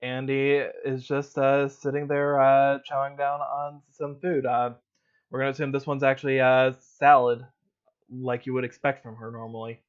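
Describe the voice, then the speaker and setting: A man narrates a tabletop roleplay session as game master, easygoing and loose, dropping in little fillers as he describes the scene. His mic sounds a bit dull and lo-fi. His pitch sits in an ordinary middle range. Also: moderate pace, clean tone. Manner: relaxed, filler-heavy narration.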